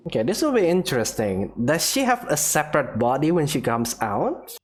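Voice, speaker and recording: A woman's voice: deep voice, big pitch swings, moderate pace. A woman giving casual commentary as she plays through a video game. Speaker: gamer commenting on her playthrough. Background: game music.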